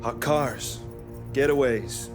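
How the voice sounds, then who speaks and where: An actor playing a chummy, eager garage mechanic and small-time crook with folksy street talk, in a crime game. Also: male voice, medium pitch, takes it slowly, loud music behind the voice, natural voice. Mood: chummy, sly. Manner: folksy, street-smart slang.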